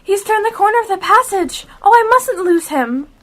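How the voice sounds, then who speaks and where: A woman's voice: extremely high pitch, animated, moderate pace, slight background noise. A young female amateur playing a curious, impulsive little girl in a radio play. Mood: wondering.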